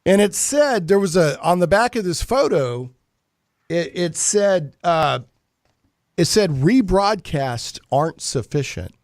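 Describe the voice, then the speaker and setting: A man's voice: high-pitched, animated, slow pace, dry recording, clean studio sound. A man hosting a talk show, chatting casually in a rambling, joking, mock-serious way. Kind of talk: casual chatty radio banter.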